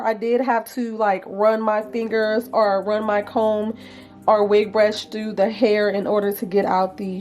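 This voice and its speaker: A woman vlogger chatting casually and playfully to viewers about beauty products, with a slightly raspy, froggy voice and an informal manner. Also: mid-range voice, a little mellow. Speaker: vlogger reviewing wigs.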